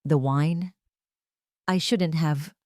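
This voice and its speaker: A woman voicing a smitten, hesitant male CEO in an audio love story, speaking softly and intimately. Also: low voice, drawn-out words, no room sound, studio quality. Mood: apologetic, shaken.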